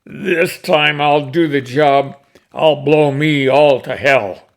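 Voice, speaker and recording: A man voicing an ornery, disgusted old cowboy in a recited comic poem, with a gruff, folksy manner. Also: fairly high pitch, takes it slowly. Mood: angry determination.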